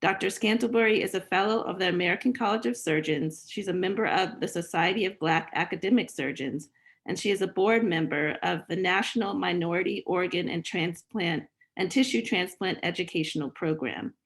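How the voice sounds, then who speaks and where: Woman reading a formal introduction, low-pitched. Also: a little fast. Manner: formal, reading from notes, slight stumbles. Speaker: host introducing the speaker.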